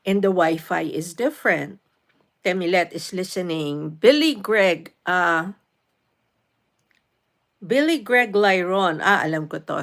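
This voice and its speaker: A woman's voice: on the low side, a bit bright. A Filipina woman with a Filipino accent hosting a casual live stream, chatting to viewers by name and giving tips. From Philippines. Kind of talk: casual live-stream chat mixing English and Tagalog.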